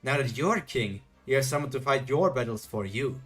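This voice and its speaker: Sneering female demon king, read out by a guy, talks down to everyone, medium pitch. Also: lively intonation, normal speed, fairly bright tone, soft background music. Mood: scornful. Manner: sneering, condescending.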